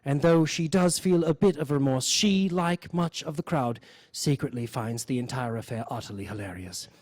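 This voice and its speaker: A man hosting a radio show, reading mock nature-documentary narration in a deadpan, solemn way. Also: mid-range voice, normal speed, no echo. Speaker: host of a live variety radio show.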